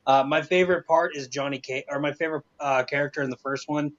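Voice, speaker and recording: A man's voice: a little high, steady tone, fast, dull audio. A man giving his opinions in casual, informal talk as a panelist. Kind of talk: casual movie opinion chat.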